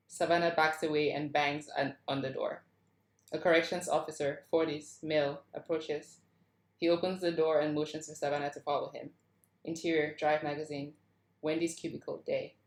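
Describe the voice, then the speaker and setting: A woman with a notably low voice reads scene headings and action lines from a script. She delivers scripted narration for a table read, and the room around her is clearly echoey. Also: flat delivery, moderate pace. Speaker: stage directions reader at a screenplay table read.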